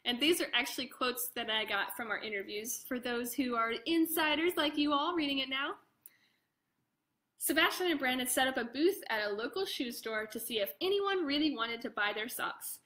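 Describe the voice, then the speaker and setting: A woman reading her own picture book aloud to children in an expressive way, with casual chatty asides. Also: high-pitched, moderate pace. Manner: expressive, addresses young listeners directly; casual, informal commentary between passages.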